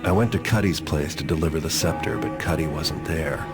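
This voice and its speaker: World-weary master thief, low voice, deadpan, understated, video game narration, prominent music behind. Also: male voice, a little fast. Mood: grim, resolved. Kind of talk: scripted first-person game cutscene narration.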